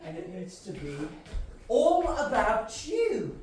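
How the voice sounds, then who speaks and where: A woman's voice: on the high side, huge pitch swings, takes it slowly, darkish tone, heavily acted. A woman playing a crude, teasing friend in an audio drama, with a drawling, sweary manner. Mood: teasing, grumbling. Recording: very echoey, distant, faint noise.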